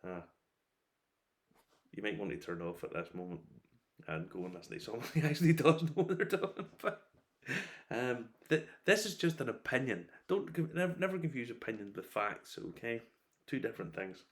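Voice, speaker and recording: A middle-aged man giving a casual, rambling review, opinionated and wry, breaking into animated asides. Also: mid-range voice, moderate pace. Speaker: whiskey reviewer.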